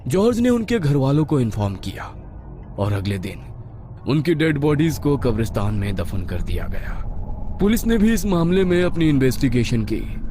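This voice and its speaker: A man reading a scripted horror story as a dramatic narrator. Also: medium pitch. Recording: music behind the voice.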